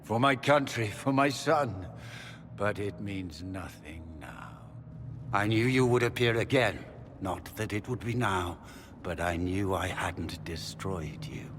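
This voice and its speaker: An actor playing a remorseful middle-aged magister and desperate father in a video game, speaking gravely and theatrically with resignation. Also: deep voice, lively intonation, slow, a bit bright, slight background noise, full character voice. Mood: bitter resignation. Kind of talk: dramatic video game dialogue.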